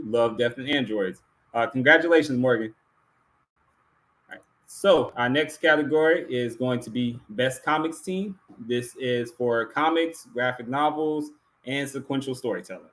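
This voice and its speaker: A man's voice: medium pitch, moderate pace, a bit bright, poor audio. A man hosting an awards ceremony, announcing categories and finalists and reading out remarks.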